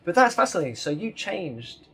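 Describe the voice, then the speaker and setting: A man's voice: sits high, sing-song, normal speed, bright, forceful tone, husky. A man hosting a podcast interview, asking curious, prompting questions. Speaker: podcast host.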